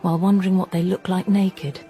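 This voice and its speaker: An actress playing a shrewd, insistent amateur sleuth in a radio drama, scornful and pressing in manner. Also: female voice, on the low side, level tone, fairly quick, natural voice. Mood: sardonic, pressing. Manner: scornful, pressing, hushed at times.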